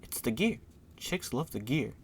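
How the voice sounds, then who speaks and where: A man playing a cocky, excitable young superhero sidekick in an audio drama, brash and eager. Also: medium pitch, a little slow, husky.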